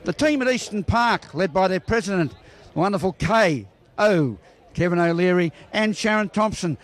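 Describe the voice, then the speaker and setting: A man's voice: high voice, lively intonation, moderate pace. A man reporting on bowls, interviewing club members outdoors at a bowling green in a jovial, teasing way.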